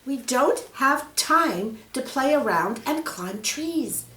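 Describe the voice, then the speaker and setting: A woman voicing a bossy, confident young girl adventurer in a storybook, emphatic and brisk in manner. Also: high-pitched, lively intonation, bright, forceful tone, voice pushed up, heavily acted. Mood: scolding. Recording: roomy, echoing room.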